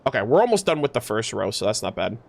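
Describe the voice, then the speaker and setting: A man's voice: fairly low pitch, very animated, quick pace, creaky. A man hosting a livestream, chatting casually about game lore and reading in-game text aloud in a gamer's slangy way. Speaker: livestream host.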